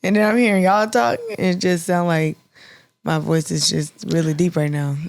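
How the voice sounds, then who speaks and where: A woman's voice: fairly low pitch, steady tone, moderate pace, creaky, no room sound. A woman co-hosting a podcast, giggly and casual. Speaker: podcast co-host. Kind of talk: casual chat.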